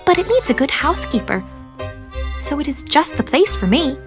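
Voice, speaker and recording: A woman voicing a gentle, house-proud little female squirrel in a children's storybook, sweet, homely and exclamatory. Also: high-pitched, sing-song, normal speed, music in the background, pitched above the performer's own voice. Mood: admiring.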